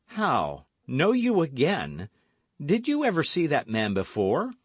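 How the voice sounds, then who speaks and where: A man voicing an eager, brash young gentleman in an audiobook, speaking with emphatic, commanding and affectionate delivery. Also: on the high side, wildly swooping pitch, takes it slowly, no room sound, pitched above the performer's own voice, full character voice. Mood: excited, brisk. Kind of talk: audiobook character acting.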